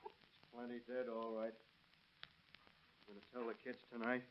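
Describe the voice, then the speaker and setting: A man's voice: medium pitch, level tone, moderate pace, lightly acted. An actor playing an uneasy, grimly blunt man with a terse, guarded manner in 1930s radio drama. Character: wife's male companion, uneasy but grimly blunt conspirator. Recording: dull vintage radio recording.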